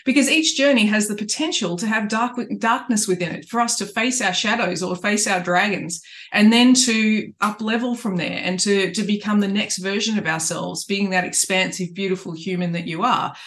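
A middle-aged woman speaks at an ordinary, middle pitch on a home webcam. She coaches earnestly and spiritually, often breaking off and restarting her sentences. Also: moderate pace, a bit bright. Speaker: life coach. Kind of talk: reflective coaching talk to camera. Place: indoors in a small home room.

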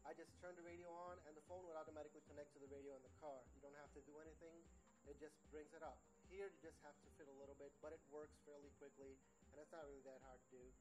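Higher-pitched man giving a casual gear demo. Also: flat delivery, fast, music in the background, poor audio. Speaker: product reviewer and mobile DJ. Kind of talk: casual demonstration and review. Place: small indoor room, seated at a table. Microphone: standalone audio recorder on the table.

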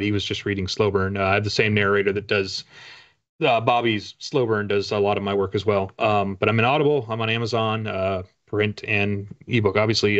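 Low-pitched man in casual, self-deprecating chat. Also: a bit bright. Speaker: guest author. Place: home room with bookshelves, video call. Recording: dull, lo-fi call audio.

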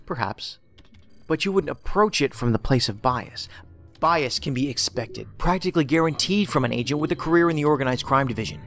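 An actor playing an analytical, pedantic federal investigator in an audio drama, with precise, clipped, probing speech. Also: male voice, medium pitch, expressive, brisk, a bit bright, faint music behind. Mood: probing, detached.

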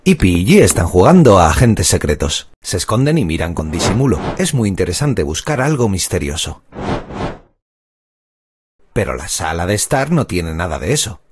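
A woman playing the narrator of a children's cartoon, telling the story in an expressive, scripted style. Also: very deep voice, normal speed, a little hiss or hum. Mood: storytelling.

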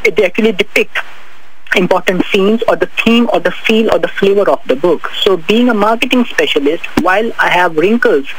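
A man's voice: high voice, moderate pace, a bit bright, slightly rough, poor audio. A man with a Pakistani accent, a guest author on a radio interview, talking fluently about marketing with many restarts. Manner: fluent, repetitive promotional talk with frequent restarts.

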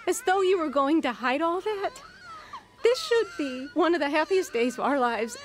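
An actress with an American accent playing a blunt young wife in an audio drama, speaking expressively. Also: female voice, sits high, animated, normal speed, slight background noise. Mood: hurt, accusing. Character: young wife, eager, blunt and sharp-tongued.

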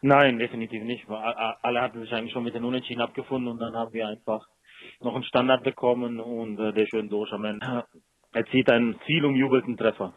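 A man's voice: medium pitch, level tone, quick pace, dry recording. A man speaking as a football coach in a post-match interview over a telephone line. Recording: muffled, dry telephone line.

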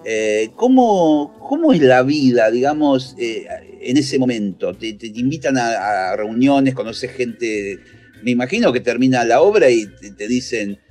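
A man's voice: mid-range voice, unhurried. Middle-aged Argentine man, easygoing radio host on a video call, faint music beneath. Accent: Argentine Spanish. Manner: relaxed, hesitant questions with fillers. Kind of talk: casual video-call interview. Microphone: video call with a mic.

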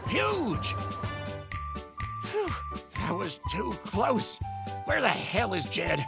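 Blustering, gravelly cowboy showman. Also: high-pitched, wildly swooping pitch, extremely slow, full character voice. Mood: showy, upbeat. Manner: folksy, bossy, theatrical. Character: blustering, anxious cowboy showman business owner, older man. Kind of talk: comic dub character acting. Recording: loud music underneath.

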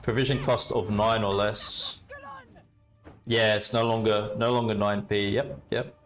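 A young Australian man casually commenting on his card game and chatting with viewers, relaxed and talkative. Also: on the low side, unhurried, soft background music. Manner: relaxed, chatty, talks to chat while playing. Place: indoor room at a desk.

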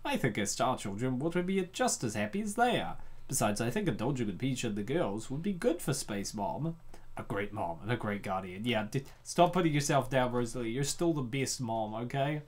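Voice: medium pitch, very animated, normal speed. A host giving casual, jokey reaction commentary on a comic, with a playful, exclamatory manner.

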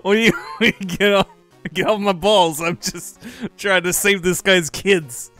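A man's voice: high-pitched, steady tone, measured pace, sharp tone, soft background music. A man playing a handheld game, joking and laughing with friends in casual chat. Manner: giggly, jokey, playing along with bits. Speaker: let's play host.

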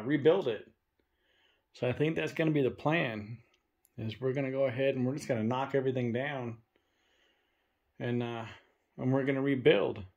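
Young man hunting ghosts in a dark house. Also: mid-range voice. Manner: loud provoking challenges to the spirits. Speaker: paranormal investigator. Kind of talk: casual ghost-hunting vlog talk. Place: dark abandoned farmhouse at night.

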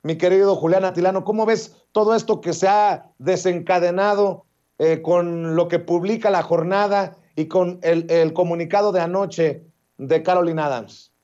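A man's voice: high voice, steady tone, normal speed, mellow. A middle-aged man hosting a political talk show over video call, speaking sarcastically with frequent fillers. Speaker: host. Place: office with window blinds, indoors. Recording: dull lo-fi webcam audio.

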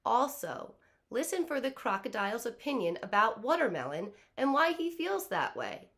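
A woman in her thirties, a kindergarten teacher, explaining things to small children in simple, deliberate phrases. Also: a little high, sing-song, fairly bright tone. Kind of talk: explaining to young children before a read-aloud. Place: small living room, on a couch.

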